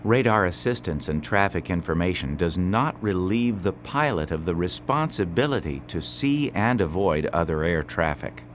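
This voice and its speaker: A man reading scripted instructional narration as a training video instructor, in a measured, explanatory way. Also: sits low, animated, a little hiss or hum.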